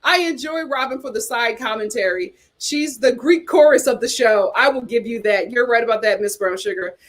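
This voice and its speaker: A woman's voice: fairly high pitch, moderate pace. A woman hosting a casual reality TV chat, reading viewer comments aloud with dramatic exclamations.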